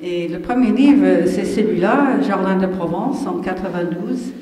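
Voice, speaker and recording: An older woman speaking with an English Canadian accent gives a public lecture at a middle pitch. She is chatty and self-deprecating and wanders off on side paths. She is picked up from a distance in a very echoey hall with a long reverb. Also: a little slow, mellow.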